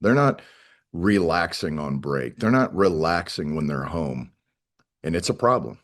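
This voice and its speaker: A man's voice: sits low, lively intonation, measured pace. A middle-aged man giving conversational interview answers as a union spokesman, plain-spoken and emphatic, repeating phrases for stress. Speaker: air traffic controllers' union spokesman.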